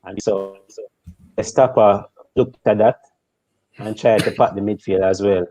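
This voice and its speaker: Slow, measured man, deep and dull. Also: fairly even intonation, mellow, dry recording. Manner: slow, measured, hesitant with ums. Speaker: football pundit. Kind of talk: casual live-stream analysis. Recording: dull, dry remote mic.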